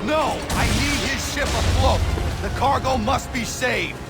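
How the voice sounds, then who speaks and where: Creaky, high-pitched young sea captain. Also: male voice, a little slow. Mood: forceful, urgent. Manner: earnest, commanding. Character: determined young male ship captain, earnest and forceful. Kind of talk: dramatic video game acting. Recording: loud music over the voice.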